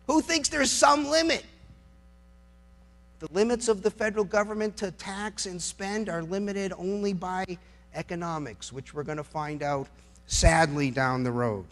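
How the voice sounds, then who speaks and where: A man with a notably high voice delivers a persuasive legal and political speech. He is emphatic and incredulous, leaning on rhetorical questions as he argues like a lawyer addressing the public. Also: gravelly, a bit bright. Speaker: lawyer giving a public talk.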